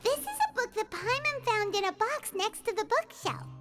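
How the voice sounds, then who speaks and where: A woman voicing a small, perky floating fairy companion with a squeaky childlike voice in an anime-style video game. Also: lively intonation, normal speed. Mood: excited, explaining. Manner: talks of self in third person. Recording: music playing behind the voice.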